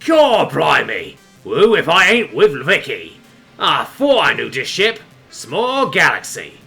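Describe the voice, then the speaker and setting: Brutish orc space captain for an audio story, acted by a male narrator: menacing, booming, slow, wide swooping delivery. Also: wildly swooping pitch, gravelly, sharp tone, faint music behind, heavily acted. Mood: mocking. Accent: mock Cockney.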